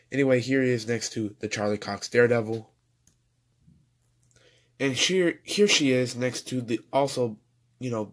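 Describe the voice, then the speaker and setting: A young man reviewing toys at home in a rambling, chatty way, his voice going hoarse. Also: on the low side. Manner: rambling, chatty, self-correcting. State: losing his voice. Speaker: toy reviewer. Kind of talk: casual toy review voice-over.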